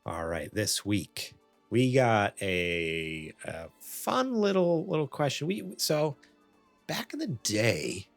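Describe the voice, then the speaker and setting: A thirty-year-old man hosts a casual podcast with a very animated, expressive delivery. He is self-deprecating and jokey. The sound is dry and close, with faint music underneath. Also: fairly low pitch, slow pace, gravelly, no echo. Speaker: podcast host. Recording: music playing behind. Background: background music.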